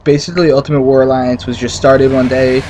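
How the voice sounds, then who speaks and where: A man's voice: medium pitch, level tone, brisk. A young man, creator of a hobby film series, speaking earnestly with many fillers. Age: young adult. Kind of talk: casual interview. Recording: music bed underneath.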